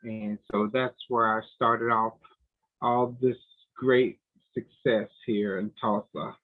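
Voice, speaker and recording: An older man from the United States, the guest in an interview on a video call, speaking in a measured way with many pauses. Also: lowish voice, fairly even intonation. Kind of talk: relaxed interview answers. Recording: tinny phone-like line.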